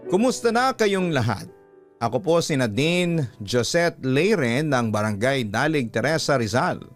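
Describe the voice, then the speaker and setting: A man's voice: mid-range voice, very animated, a little slow. A man with a Filipino accent reading a listener's letter as a radio-style storytelling narration. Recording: music playing behind the voice. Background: background music.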